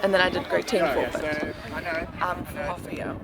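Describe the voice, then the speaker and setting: A young man with a South African English accent and a fairly high voice. He talks in an easygoing way and explains things at length. Behind him, a loud crowd fills the open-air sports field. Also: steady tone, brisk, vocal fry. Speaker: teacher, spectator. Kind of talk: casual sideline chat. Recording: loud crowd noise outdoors.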